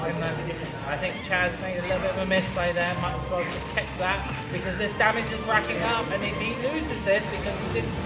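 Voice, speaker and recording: A hoarse man giving solo play-by-play commentary on a fighting game match, with quick analysis and dry self-mocking asides. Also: high-pitched. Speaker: esports commentator. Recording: echoey room with loud music. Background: loud music.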